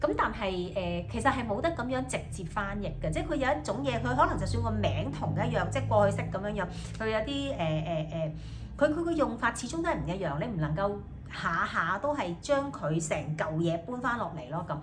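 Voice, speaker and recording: A young woman from Hong Kong, a native Cantonese speaker, talking casually in a video diary. She speaks with a Hong Kong accent, hesitates often, fills pauses, and repeats each thought in English and then again in Cantonese. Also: mid-range voice, expressive, a little hiss or hum. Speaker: student.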